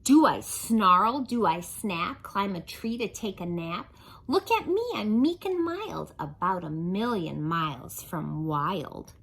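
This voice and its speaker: A woman's voice: lowish voice, lively intonation, slow pace, vocal fry, a bit bright, slight background noise, full character voice. A woman voicing an indignant little child in a timeout chair, protesting in sing-song rhyme.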